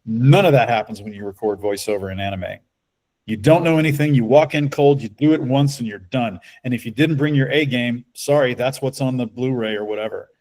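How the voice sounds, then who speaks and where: Talkative bass-baritone man, relaxed and measured. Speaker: voice actor guest. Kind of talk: casual podcast interview.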